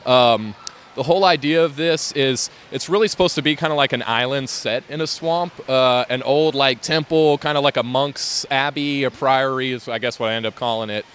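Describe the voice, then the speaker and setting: Man, mid pitch, rambling with fillers, light background noise, convention hall. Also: moderate pace, a bit bright. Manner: rambling, many fillers and restarts. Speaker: LEGO builder interviewed at a fan convention. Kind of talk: casual interview.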